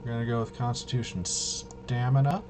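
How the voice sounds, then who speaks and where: A man hosting a tabletop game walkthrough, thinking aloud in a casual, halting way and muttering to himself. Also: medium pitch, flat delivery. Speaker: host of a tabletop RPG video. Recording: noisy room, clearly audible background noise.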